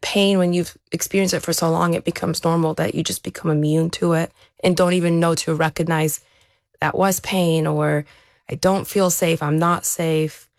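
Low, creaky-voiced woman speaking reflectively. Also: flat delivery, moderate pace, no room sound, studio quality. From born in Ukraine, raised there to age seven, then America. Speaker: interview guest, elite athlete. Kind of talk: reflective personal account in conversation.

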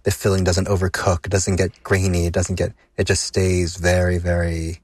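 A man hosts a radio food show and draws out his guests with short, encouraging replies. His voice is very deep with a husky edge, recorded close and dry. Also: flat delivery, normal speed, a little mellow, no room sound. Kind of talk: radio interview.

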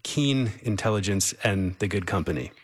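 A man's voice: fairly low pitch, lively intonation, moderate pace. A man speaking as a music show host, articulate and encouraging as he interviews and announces. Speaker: radio show host and pianist.

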